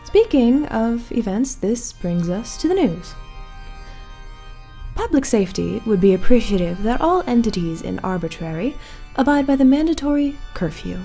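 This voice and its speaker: A woman playing an eerie, gracious small-town radio host, reading horror-comedy news in a measured, ominous deadpan. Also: a little high. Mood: ominously calm. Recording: music bed under the voice. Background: music.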